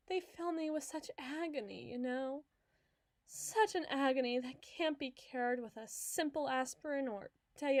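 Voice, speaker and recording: A woman's voice: high-pitched, no echo, clean studio sound. A woman playing an obsessive, lovesick hospital patient in an ASMR roleplay, talking in a dramatic, coy and wheedling way. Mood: melodramatic, plaintive. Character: obsessive, clingy lovesick female patient, theatrical flirt.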